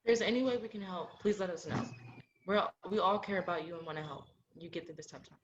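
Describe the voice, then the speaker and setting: A young woman acting in a student play as a caring, supportive best friend, gentle in manner. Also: fairly low pitch, fast talker, noisy background, natural voice. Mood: gentle, reassuring. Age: young adult. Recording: dull lo-fi audio.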